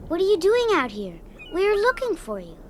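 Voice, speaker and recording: A woman's voice: very high voice, wildly swooping pitch, fairly quick, a little hiss or hum, exaggerated voice. A woman acting an earnest, anxious young boy, a devoted son, in a radio drama. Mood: worried, tender.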